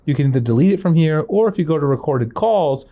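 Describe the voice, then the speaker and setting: A man's voice: on the high side, animated, quick pace, mellow. A man presenting a casual step-by-step phone tutorial in an informal, conversational, explanatory way.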